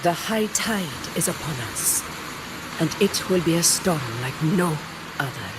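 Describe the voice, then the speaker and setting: An actress playing a proud, commanding gladiator leader in a video game, speaking formally with stately self-assurance. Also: female voice, sits low, lively intonation, unhurried, very noisy. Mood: solemn, resolute. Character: proud, commanding female gladiator war leader, regal and battle-hungry. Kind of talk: dramatic video game character dialogue.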